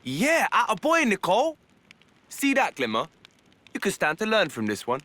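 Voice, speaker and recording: An actor playing a cocky, cheerful young adventurer who teases in breezy slang in a video game. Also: male voice, high voice, huge pitch swings, moderate pace, bright, forceful tone, heavily acted. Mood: teasing. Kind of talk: video game cutscene acting. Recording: music behind the voice.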